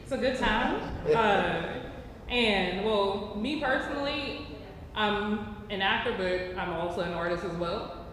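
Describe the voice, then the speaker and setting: Man, twenties, easygoing slangy panel chat, distant from the mic, very echoey with clear noise. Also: sits high, a little slow, a bit bright. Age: 20s. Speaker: actor and ensemble member.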